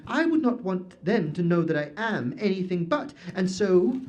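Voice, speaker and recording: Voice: medium pitch, big pitch swings, normal speed, a little mellow, close to an everyday voice. A performer voicing a fussy, pompous wizard who has turned himself into an armoire, stammering when flustered. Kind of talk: audio drama acting.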